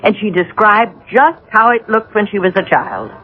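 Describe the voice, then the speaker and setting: An actress playing a gentle, uneasy older housewife in a 1980s radio drama, speaking hesitantly. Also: female voice, mid-range voice, big pitch swings, slightly rough. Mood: anxious, pleading. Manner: hesitant, halting. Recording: narrow-band vintage radio broadcast.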